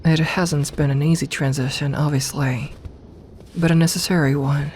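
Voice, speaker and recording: A woman playing an imperious serpent queen in an ASMR audio roleplay, speaking formally and with measured dignity, commanding yet tender toward her companion. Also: sits low, steady tone, a little mellow, natural voice. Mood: calm, matter-of-fact. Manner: regal, formal, measured speech. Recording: ambient noise behind the voice.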